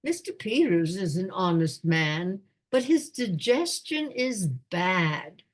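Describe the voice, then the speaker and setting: Slow-talking, absent-minded old English lord, played by a woman. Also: lowish voice, big pitch swings, full character voice. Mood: musing, genial. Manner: pompous, rambling aristocratic musing. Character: doddering, absent-minded elderly English lord, genial, rambling. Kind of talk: radio play comedy acting.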